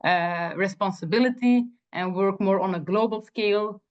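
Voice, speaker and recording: A woman's voice: mid-range voice, normal speed. A young woman from Belgium, a native Dutch speaker, earnestly introducing herself in a job interview with many fillers and restarts. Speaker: job candidate. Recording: dull lo-fi sound.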